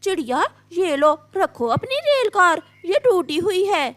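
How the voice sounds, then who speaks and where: Woman voicing a haughty, animated mother crow. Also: very high-pitched, measured pace, dry recording, heavily acted. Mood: angry, mocking. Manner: sharp-tongued, demanding. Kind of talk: Hindi children's cartoon voice acting.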